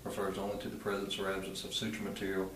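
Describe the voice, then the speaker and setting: Male patient, thirties, low voice, heard at a distance in a small exam room. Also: completely flat delivery, fast. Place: small hard-walled exam room indoors. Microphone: camera mic a few feet away. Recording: echoey room, distant mic, faint noise.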